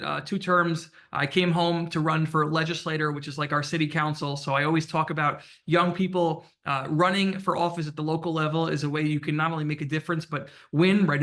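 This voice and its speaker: Brief: young male panelist, bright and forceful, lively self-deprecating humor. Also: a little high. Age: young adult. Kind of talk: panel introduction. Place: campus event room.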